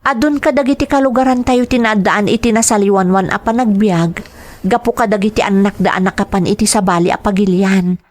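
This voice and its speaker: A young, strong-willed and dutiful daughter in an Ilocano radio play, played by a woman. Her voice is a little high, and she has an Ilocano Filipino accent. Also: close to an everyday voice. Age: young adult. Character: determined, dutiful grown daughter seeking work abroad. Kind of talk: dramatized audio drama acting.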